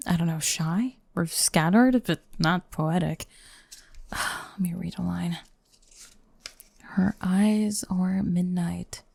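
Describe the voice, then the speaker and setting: Woman acting a low, creaky, sardonic goth bully girl. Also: warm tone, no room sound, clean studio sound. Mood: teasing curiosity. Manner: teasing, grumbling, sighing, dropping into breathy murmurs. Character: sardonic goth bully girl, young, secretly flustered tsundere. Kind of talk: ASMR roleplay, intimate acting addressed to listener. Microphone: close microphone, dry.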